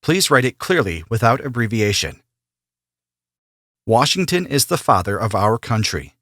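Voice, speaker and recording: A man playing an immigration officer who asks formal, steady questions in a scripted practice interview. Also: medium pitch, normal speed, no room sound, clean studio sound. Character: male immigration officer, businesslike and neutral. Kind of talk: scripted role-play interview.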